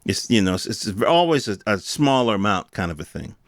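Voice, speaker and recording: A man's voice: lowish voice, sing-song, fairly quick, dry recording, clean studio sound. An American man hosting a podcast, giving unscripted news commentary in an emphatic, colloquial, repetitive way with rhetorical questions. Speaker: podcast host.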